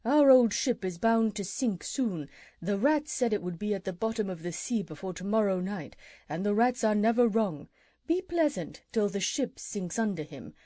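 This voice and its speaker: A hushed wise old owl from a children's story, voiced by a woman, murmuring careful advice close to the mic. The recording is dry with no room sound. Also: medium pitch, animated, moderate pace, no echo, close to an everyday voice. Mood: urgent. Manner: hushed, urgent whisper.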